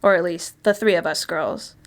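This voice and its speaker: A woman's voice: on the low side, normal speed, natural voice. An actress playing a wry, chatty woman past thirty, telling her story as a confiding first-person monologue. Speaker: actor reading a short story.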